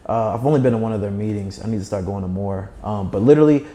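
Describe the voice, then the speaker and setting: Young guy w a slight lisp, mellow voice, chatty interview guest, talks fluently and leans on his points. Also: on the low side, fast talker, a little hiss or hum. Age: young adult. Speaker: guest, startup founder. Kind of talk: animated conversational storytelling and advice.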